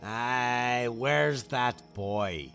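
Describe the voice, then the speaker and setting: An actor playing a gruff, stingy, bossy tavern keeper in a fantasy audio drama. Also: male voice, mid-range voice, very slow, over loud music. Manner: bossy, grumbling, emphatic.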